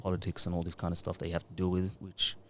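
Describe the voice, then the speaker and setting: A man's voice: low-pitched, completely flat delivery, very fast, fairly bright tone, slight background noise. A man in his thirties, a music producer and DJ, talking in a laid-back, rambling way into a podcast mic. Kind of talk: casual podcast interview.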